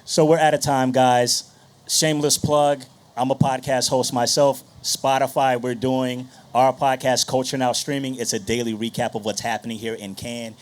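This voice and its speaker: A man's voice: mid-range voice, flat delivery, moderate pace. A man hosting a live conference panel, speaking in an informal, promotional way. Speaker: panel moderator, podcast host.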